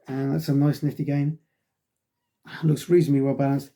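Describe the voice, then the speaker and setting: A man's voice: mid-range voice, little pitch movement, normal speed, warm tone. A middle-aged man reviewing a wargaming magazine in a casual, rambling way, sighing often and filling gaps with ums while he gets over a cold that has him losing his voice. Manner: rambling, frequent sighs and ums.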